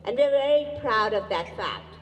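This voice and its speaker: A woman whose native language is Urdu, speaking as an educator and emcee at a community event, giving a measured, formal welcoming speech to an audience. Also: on the high side, expressive. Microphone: distant room mic. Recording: echoey hall, voice far from mic.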